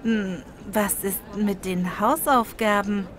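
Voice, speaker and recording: A woman's voice: medium pitch, slow pace, close to an everyday voice. A woman playing a hard-working, blunt fellow student in a scripted language-lesson dialogue, matter-of-fact and questioning.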